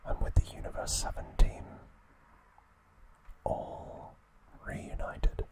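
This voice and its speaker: Very slow whispering man, ASMR. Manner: hushed, unhurried, broken into short phrases. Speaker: ASMR video narrator. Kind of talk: whispered ASMR narration of an anime recap.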